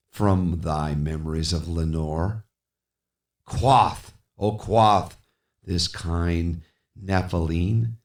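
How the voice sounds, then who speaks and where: A man playing a grief-stricken, haunted man in a gothic poem, theatrical and emphatic as he pleads and rages at a raven. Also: lowish voice. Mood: anguished fury. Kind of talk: dramatic gothic poetry recitation. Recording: echoey, reverberant voice.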